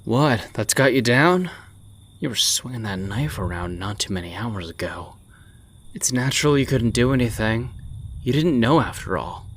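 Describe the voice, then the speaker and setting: A man's voice: mid-range voice, animated, moderate pace. A man playing a casual, teasing smoker in his late twenties for a manga dub, with a wry manner. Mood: teasing, reassuring. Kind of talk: anime-style manga dub acting.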